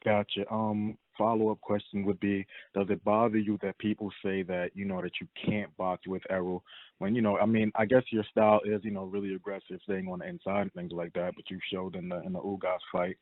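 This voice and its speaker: Fast-talking man on a phone line. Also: lowish voice, level tone, dry recording. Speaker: boxing reporter asking questions. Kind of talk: casual interview questioning. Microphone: telephone. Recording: tinny phone conference line.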